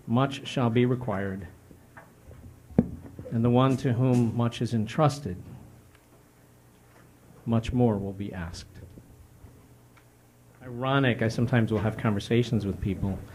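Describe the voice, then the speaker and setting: A man preaching a stewardship sermon as pastor, measured and plain-spoken, working through numbers aloud. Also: medium pitch, a little mellow, slight background noise, poor audio. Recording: music bed underneath.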